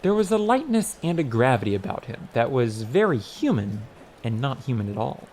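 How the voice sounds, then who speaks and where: Male storyteller for comic fantasy audiobook, mid-pitched, highly varied intonation, wry delivery. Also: big pitch swings, normal speed, a little mellow, slight background noise. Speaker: audiobook narrator. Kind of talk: scripted story narration.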